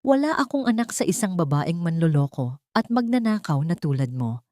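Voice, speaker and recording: A woman's voice: fairly low pitch, very animated, normal speed, darkish tone, dry recording, clean studio sound. A woman with a Filipino accent voicing a cold, heartless young man in an audio story, contemptuous in manner. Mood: cold contempt. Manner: contemptuous, switches into English.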